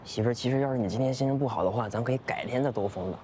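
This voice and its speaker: A man's voice: mid-range voice, very fast, lightly acted. A man playing an optimistic, coaxing young husband in a screen drama, colloquial. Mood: upbeat reassurance. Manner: coaxing, repetitive pleading, colloquial. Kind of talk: naturalistic screen drama acting.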